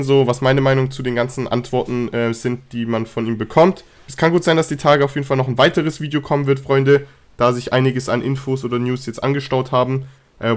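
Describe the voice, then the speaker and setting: Young man with a cold. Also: medium pitch. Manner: chatty, filler-heavy, addresses viewers directly. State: has a cold, slightly hoarse. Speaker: gaming news youtuber. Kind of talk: casual news commentary.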